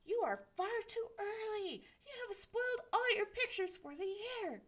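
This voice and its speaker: A woman voicing an angry old man who shouts and scolds in a shrill, indignant way. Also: expressive, unhurried, full character voice.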